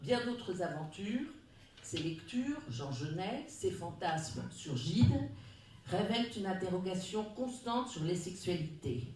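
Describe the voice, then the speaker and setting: A speaker at a round table, reading a prepared talk in a measured, formal way. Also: mid-range voice, sing-song, a little mellow, a little hiss or hum. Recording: very echoey room, distant mic.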